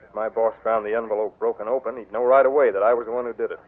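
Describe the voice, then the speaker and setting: A jumpy former bank robber, played by a man, talking fast and on edge. He comes through a thin, tinny old radio broadcast. He sounds cornered and defensive. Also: fairly low pitch, steady tone, lightly acted. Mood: nervous. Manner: tense and defensive. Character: nervous male clerk, former bank robber. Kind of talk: 1940s radio acting.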